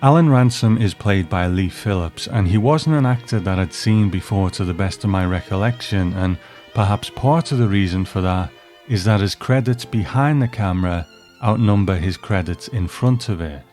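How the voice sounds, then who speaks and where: A man's voice: lowish voice, moderate pace, a little mellow, soft background music. A man hosting a review, narrating from a script. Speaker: host.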